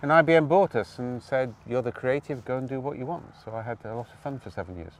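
A man from Wales telling his story outdoors in an interview, animated, with quick asides and tag questions. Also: mid-range voice, distant mic. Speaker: guest. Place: outdoors on open hills.

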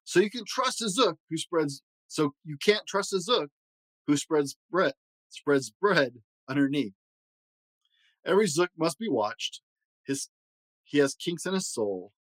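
A proud, stern old grandfather from a storybook, as a man reads him aloud, lecturing in indignant rhyme. His voice is on the higher side and leaps about for emphasis, recorded close and dry. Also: expressive, measured pace, a bit bright, no room sound, poor audio. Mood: indignant, grave. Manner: emphatic, moralizing, rhyming speech.

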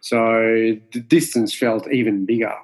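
Man in his forties, from Mauritius, Creole native speaker, measured, halting, interview guest. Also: fairly low pitch, steady tone. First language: French Creole. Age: 40s. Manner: measured, with many fillers and restarts. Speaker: martial arts instructor, guest. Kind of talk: reflective interview answers.

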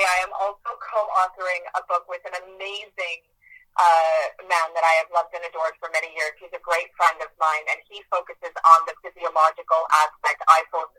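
An earnest woman speaks as an interview guest, pressing her points and circling back to the same phrases. Her voice sounds bright and sharp. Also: fairly low pitch, rough recording. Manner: earnest, emphatic, repeats key phrases. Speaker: guest, author and healer. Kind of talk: podcast interview, earnest self-promotion.

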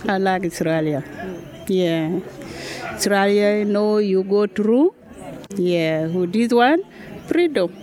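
An older woman with a Sudanese accent speaks slowly in short, simple English phrases. Her voice is mid-pitched, with a busy outdoor crowd clearly behind her. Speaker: refugee interviewee at a market. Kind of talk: spontaneous interview answers.

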